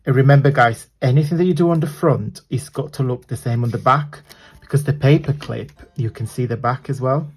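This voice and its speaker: A man with a croaky voice hosting a casual craft tutorial, chatting and apologizing as he works with his hands at a desk. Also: mid-range voice, fairly quick.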